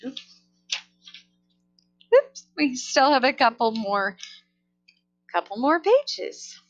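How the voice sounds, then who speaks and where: A woman reading a children's story aloud in a careful, deliberate way, on a somewhat dull recording. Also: high voice, very animated. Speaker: storybook reader. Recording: dull, lo-fi sound.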